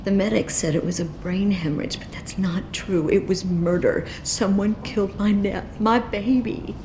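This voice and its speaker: Female game role, acted: bereaved working-class mother, desperate, tearful, clear background noise. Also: medium pitch, steady tone, moderate pace, warm tone, lightly acted. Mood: grieving. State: distraught, tearful. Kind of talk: video game voice acting. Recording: clearly noisy game ambience.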